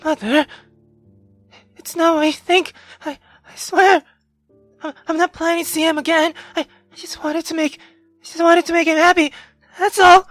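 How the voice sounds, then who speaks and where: A man's voice: extremely high pitch, steady tone, normal speed, long pauses, a bit bright, dry recording, faint music behind, pitched above the performer's own voice. A man voicing a frightened young woman from a visual novel, stammering and pleading. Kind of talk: dramatic visual novel character reading.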